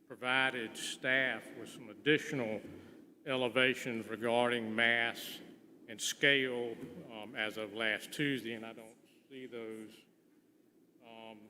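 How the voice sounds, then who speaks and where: A man speaking as a contractor at a public hearing, informally and haltingly, pausing mid-sentence. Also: medium pitch, pushed and bright. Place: large indoor meeting room. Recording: echoey meeting hall with clear background noise.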